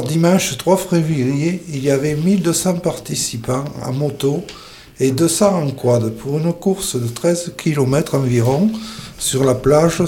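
Guy reading local sports news, mellow voice a bit high, faint hiss behind. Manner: plain reading with small restarts. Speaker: sports reporter.

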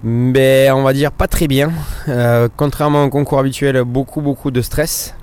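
A professional horse rider and dealer answering interview questions in a hesitant way, with many fillers. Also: male voice, mid-range voice, steady tone, moderate pace, darkish tone, smooth.

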